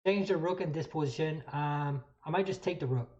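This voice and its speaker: A French Canadian speaker whose first language is French, casually explaining chess moves on a live stream, halting and repeating phrases while thinking aloud. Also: mid-range voice, a little mellow, muffled recording. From New Brunswick, Canada.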